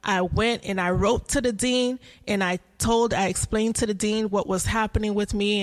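Earnest woman telling her own story. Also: medium pitch. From United States. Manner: earnest, storytelling, laughs often. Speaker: co-host, nursing instructor. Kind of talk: casual chat.

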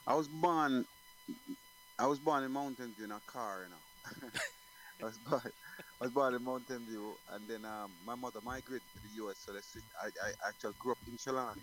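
A man's voice: medium pitch, huge pitch swings, normal speed, fairly bright tone, slight background noise, rough recording. A middle-aged man from Jamaica, a guest singer talking casually in an interview, rambling with many restarts.

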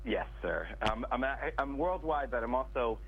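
A congested, stuffed-up man calling into a radio show as a guest attorney, pitching his services straight to the listeners. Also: sing-song, normal speed. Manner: salesy, direct address to listeners. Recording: tinny phone line.

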